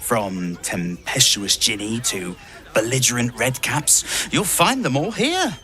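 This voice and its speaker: Circus greeter, fantasy game: flamboyant, ingratiating showman, bright and extremely animated. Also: medium pitch, slow, faint music behind, exaggerated voice. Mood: gushing welcome. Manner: theatrical, ingratiating. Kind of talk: video game character acting.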